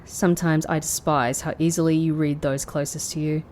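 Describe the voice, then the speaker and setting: Woman acting a low, sardonic female secret agent. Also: flat delivery, moderate pace, a little mellow, slight background noise, natural voice. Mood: grudging, dry. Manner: dry, grudging, sighing. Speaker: voice actor. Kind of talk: anime-style audio drama acting.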